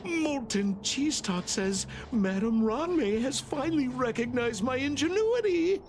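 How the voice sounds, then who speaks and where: Man doing a high, swooping, zealous devotee. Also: lively intonation, measured pace, background noise, full character voice. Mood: reverent, excited. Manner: melodramatic, grandiose, swooping delivery. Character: zealous, fawning devotee of an honorable creature. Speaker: game streamer. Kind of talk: theatrical read-aloud of video game dialogue.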